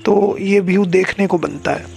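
A young man vlogging on a phone camera outdoors, chatty and excited as he walks around giving a tour. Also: high voice, moderate pace, creaky, soft background music. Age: young adult. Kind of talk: casual travel vlog commentary. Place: outdoors at a hill stadium. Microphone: handheld phone selfie camera.

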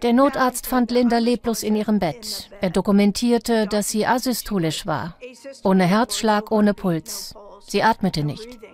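A woman's voice: medium pitch, unhurried, darkish tone, natural voice. A woman voicing a documentary interviewee who recounts a case in dubbed testimony. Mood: earnest recounting.

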